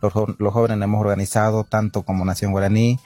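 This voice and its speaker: Low husky young man from Bolivia. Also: flat delivery, warm tone, soft background music. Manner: halting, many fillers and restarts. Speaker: interviewee.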